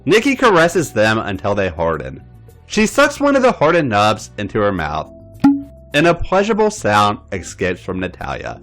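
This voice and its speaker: A man narrating a story aloud as a podcast host, with an expressive reading style. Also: on the low side, normal speed, faint music behind.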